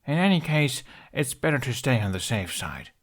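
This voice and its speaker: A man's voice: mid-range voice, big pitch swings, normal speed, voice pushed up. A man voicing a sensible, cautious young man with a dry, reassuring manner in an audiobook story. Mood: earnest, dry. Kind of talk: audiobook character voice.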